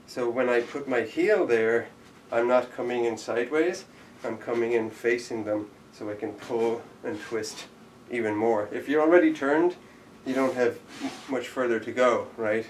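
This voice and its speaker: A man teaching a live online jujitsu class, calling out each step as he demonstrates it. His voice sits at an ordinary middle pitch and bounces around an echoey room. The camera mic is a few steps away, and faint background noise sits under him. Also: moderate pace, few pauses, recorded from a distance. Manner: demonstrating moves while talking, counting out steps. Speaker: jujitsu instructor.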